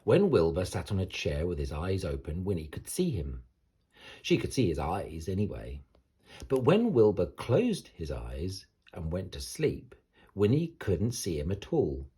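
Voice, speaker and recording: Male storybook reader, low husky voice, lively swings in intonation, theatrical bedtime delivery for children. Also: measured pace. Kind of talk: expressive bedtime story narration.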